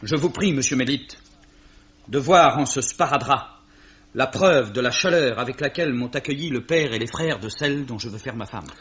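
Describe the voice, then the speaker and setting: A polite young office clerk acted by a man in a vintage French radio drama. He is earnest and a little hesitant, with a wry, stubborn streak and a mid-pitched voice. The sound is dull and muffled. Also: normal speed, a bit bright, natural voice. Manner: courteous, hesitant, mildly wry. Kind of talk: 1950s French radio mystery acting.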